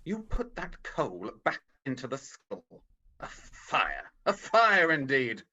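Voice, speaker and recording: A man's voice: mid-range voice, huge pitch swings, slow pace, pushed and bright, full character voice. An actor playing a miserly, scornful old businessman in a radio-style drama, curt and sneering, on a dull video call. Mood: irritable. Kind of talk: vintage radio drama acting.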